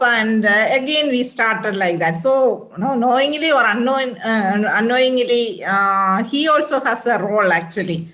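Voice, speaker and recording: A woman's voice: fairly high pitch, a bit bright, poor audio. A woman gives an informal speech at a women's group event, full of fillers and restarts. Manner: hesitant, many fillers and restarts. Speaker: association member and doctor.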